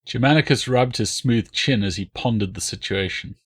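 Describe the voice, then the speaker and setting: A man's voice: medium pitch, moderate pace, breathy edge. A man narrating a historical novel as a scripted audiobook.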